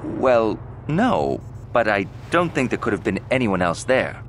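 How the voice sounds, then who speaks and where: Low-pitched, casual gambler witness. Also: male voice, big pitch swings, unhurried, heavy background noise. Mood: casual, sure. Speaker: trial witness. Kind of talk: conversational game dialogue.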